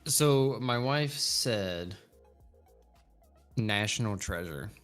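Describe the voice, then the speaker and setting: A man's voice: fairly low pitch, lively intonation, extremely slow, a bit bright, clear, resonant tone, soft background music. A man in his thirties chatting casually on a livestream, rambling and laughing easily. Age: 30s. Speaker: co-host.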